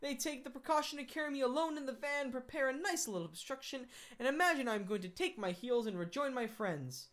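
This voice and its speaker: A woman voicing a cocky gentleman thief. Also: high voice, a little fast, few pauses, voice pushed up, natural voice. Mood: confident. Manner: emphatic, mocking and self-assured. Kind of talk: audiobook character voice.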